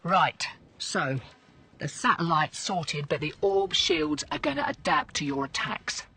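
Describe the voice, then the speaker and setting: An actress playing a cocky, slangy resistance field agent in a video game. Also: female voice, on the low side, huge pitch swings, measured pace, creaky, pushed and bright, husky, far from the mic, exaggerated voice. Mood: sardonic, businesslike. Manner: brash, slangy. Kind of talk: video game mission dialogue.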